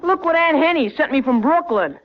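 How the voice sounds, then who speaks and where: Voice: high voice, lively intonation, fairly quick. A performer playing an eager, cheeky young boy, the son in a muffled 1940s radio family comedy. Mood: sheepish. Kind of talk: 1940s radio sitcom acting.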